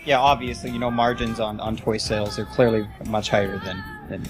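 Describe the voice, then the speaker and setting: A young Canadian woman hosting a casual podcast chat in a slangy, excitable, teasing way. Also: a bass voice, brisk. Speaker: podcast host. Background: music behind the voice.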